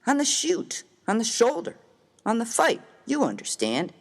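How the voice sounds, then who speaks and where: A rough American frontier miner voiced by a man, folksy and slangy in a comic story reading. His pitch swings widely as he makes each point emphatically. The recording rings with a long, hall-like reverb. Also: huge pitch swings, a little slow, full character voice. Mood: mournful, admiring. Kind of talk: dramatized reading of a humorous story.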